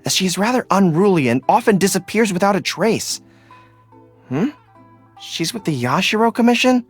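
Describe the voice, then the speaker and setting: A man voicing an earnest, loyal young dog-eared general in a video game, polite and dutiful, speaking as a young adult. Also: high voice. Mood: measured, thoughtful. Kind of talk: video game character voice lines. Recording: studio voice over background music. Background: music.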